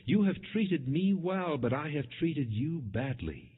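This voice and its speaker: A man's voice: on the high side, big pitch swings, takes it slowly, husky, pitched above the performer's own voice, heavily acted. A man voicing a humbled, fatherly older king, solemn and emotional, in a scripture audiobook. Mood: humbled remorse. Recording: muffled, phone-like narrow band.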